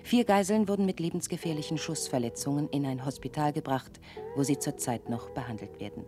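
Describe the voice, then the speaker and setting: Actress as impassive newsreader, radio drama bulletin, very low pitch, matter-of-fact, music behind. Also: female voice, brisk, lightly acted. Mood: detached. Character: impassive female newsreader of a grim future city. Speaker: actor as news announcer in a radio drama. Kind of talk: scripted news bulletin reading.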